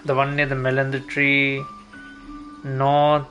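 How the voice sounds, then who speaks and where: Man with highish voice, game music behind. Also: completely flat delivery, fairly bright tone, muffled recording. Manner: unhurried, thinking aloud. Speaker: game streamer. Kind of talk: casual gameplay commentary. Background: video game music.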